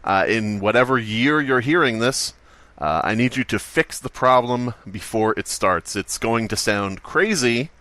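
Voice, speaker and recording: A man's voice: mid-range voice, expressive, unhurried, sharp tone, no echo. A man hosting a comedy podcast, talking in a sarcastic, exasperated way. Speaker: podcast host. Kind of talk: comedic podcast chat and sketch narration.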